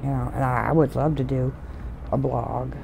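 A middle-aged woman streaming a game from home on a desk mic, talking softly in meandering, complaining asides. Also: very deep voice, level tone, normal speed, creaky, background noise. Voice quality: soft voice. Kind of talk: rambling casual commentary. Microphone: desk mic with headphones. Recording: loud game music behind voice. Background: game music.

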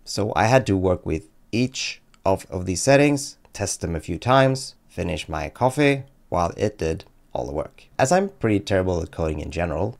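A man's voice: sits low, creaky, darkish tone. A man in his thirties presenting a casual tutorial with dry, tongue-in-cheek delivery, close to a desk microphone indoors. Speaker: tech YouTuber and presenter. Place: small dim home room, indoors. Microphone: close boom-arm desk microphone.

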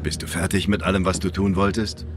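An actor playing a gruff but teasing bar boss in a video game, in a natural style. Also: male voice, sits low, flat delivery, fairly quick, music in the background, natural voice. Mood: matter-of-fact.

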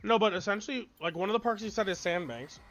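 A man in his late twenties streaming a game and chatting casually, excitable and swearing freely while talking over his friend. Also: sits high, fast talker, dull audio. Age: 20s. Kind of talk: casual chat while gaming. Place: small bedroom with colorful wall.

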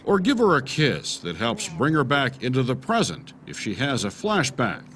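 A man's voice: medium pitch, huge pitch swings, normal speed, a bit bright, a little hiss or hum. An American man in his sixties narrating a scripted television news feature as a correspondent.

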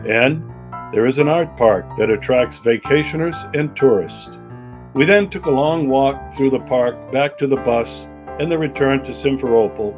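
A man narrating a travel documentary in a calm, measured way. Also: mid-range voice. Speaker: narrator and interviewer. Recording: voice-over with loud background music.

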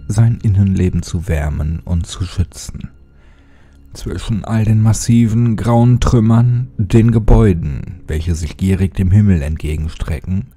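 Male narrator for creepy audiobook channel, deep register, husky and heavily mellow, unhurried. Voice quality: husky, dark, low. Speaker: horror story narrator and channel host. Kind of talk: casual channel address, then dramatic horror audiobook narration.

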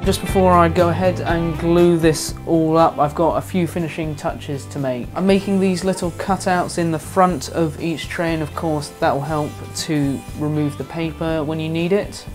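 A young man talks through a woodworking build step by step in an even, calm voice-over. Clear background music plays beneath his narration. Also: on the high side, moderate pace, hardly any pauses. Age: young adult. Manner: calm, explains each step plainly. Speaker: woodworking channel host.

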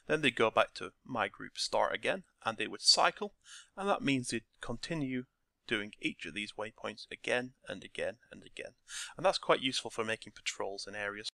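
Croaky guy walking you through code, lowish gravelly voice, bright edge, dry close mic. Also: no echo. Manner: relaxed step-by-step explaining. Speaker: game scripting tutor. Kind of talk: screen-recorded tutorial narration.

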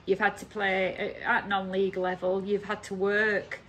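A woman's voice: medium pitch, little pitch movement, normal speed, fairly bright tone, a little hiss or hum. Friendly woman interviewer, encouraging. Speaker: club interviewer. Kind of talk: sports club interview.

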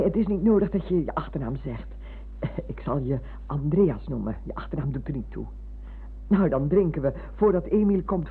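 An older actress playing a chatty, fussy, sentimental retired schoolmistress who rambles and interrupts herself in a radio play. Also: female voice, low voice, husky, exaggerated voice. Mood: fussy, playful. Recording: muffled phone-like old radio recording.

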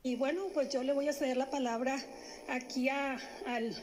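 A middle-aged woman, a city official, announcing an event at a press conference into a stand microphone, speaking haltingly with many fillers and restarts. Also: sits high, steady tone, a crowd in the background, rough recording. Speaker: municipal government official. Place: indoor press room.

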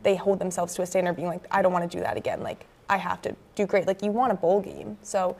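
A woman's voice: fairly low pitch, fast talker, vocal fry, darkish tone. A young American woman co-hosting a student sports show with conversational commentary, recorded on a lapel mic. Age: young adult, early 20s. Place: indoor campus TV studio at a desk.

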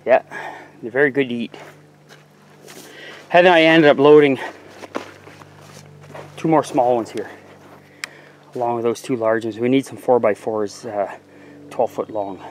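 A man's voice: mid-range voice, steady tone, measured pace, pauses often, slight background noise. A man in his forties, a homesteading vlogger, chatting casually to the camera outdoors in a rural yard. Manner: easygoing, plain-spoken, addresses viewers as folks.

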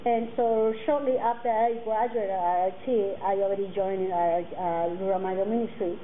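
A woman in her forties, a native Mixtec speaker, speaking earnestly to students and halting often with fillers and restarts. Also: medium pitch, fairly even intonation. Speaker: guest speaker. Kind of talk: personal talk to students. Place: classroom with curtains, indoors. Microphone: table mic on a stand. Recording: tinny phone-like sound, faint noise.

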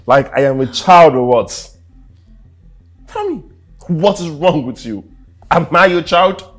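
A man's voice: on the low side, animated, measured pace. An actor playing an arrogant husband who mocks and dismisses his wife, often stammering when agitated. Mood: mocking.

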